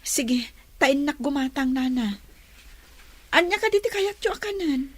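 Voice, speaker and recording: A woman's voice: high voice, lively intonation, a little slow. A woman playing a devoted, caring young woman in love in a radio drama, with an earnest, pleading manner. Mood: concerned.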